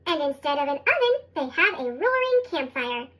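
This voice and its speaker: Chipmunk effect, extremely high pitch, lively intonation, children's story read-aloud, expressive narration. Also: moderate pace. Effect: sped-up chipmunk voice.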